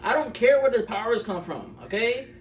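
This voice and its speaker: A man talking off the cuff as co-host of a film review, blunt and incredulous, often halting mid-thought. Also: very high-pitched, lively intonation. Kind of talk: casual, unscripted film review chat. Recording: echoey room, distant mic, faint noise.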